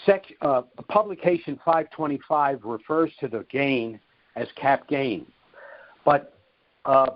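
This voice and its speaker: Man explaining on a muffled conference call. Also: on the high side. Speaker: host of a conference call answering questions. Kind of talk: explanatory question-and-answer talk. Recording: muffled, narrow-band conference call audio.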